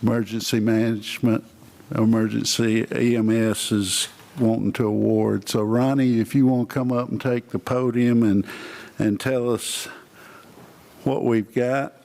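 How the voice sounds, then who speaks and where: Slow, gravelly meeting chair. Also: male voice, mid-range voice, flat delivery, darkish tone. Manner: slow, hesitant, many fillers. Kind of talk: opening a meeting. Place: county board meeting room.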